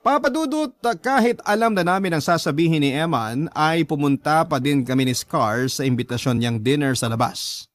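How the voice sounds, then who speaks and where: A man's voice: fairly high pitch, lively intonation, normal speed, few pauses, no room sound. A man giving scripted first-person dramatic narration, reading out a love story as a letter sender would tell it.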